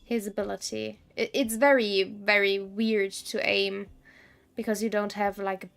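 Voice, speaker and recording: A woman gives casual gameplay commentary as a streamer. She talks slowly at a medium pitch, thinking aloud as she goes, with a blunt, wry way of putting things. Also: flat delivery, gravelly, fairly bright tone, soft background music. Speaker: game streamer.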